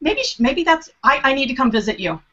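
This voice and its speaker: A woman's voice: high-pitched, very animated, a little fast, a bit bright. A middle-aged American woman chatting casually as a panelist on a web video call from her home study. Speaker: panelist on a genealogy web show. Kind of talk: casual chat. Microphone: webcam video call. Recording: dull, compressed internet call audio.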